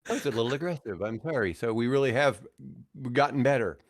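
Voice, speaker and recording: Male, sixties, American, average pitch. Podcast guest and radio type, opinionated, loose conversational storytelling. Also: animated, fast. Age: 60s. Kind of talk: casual chat, rambling storytelling.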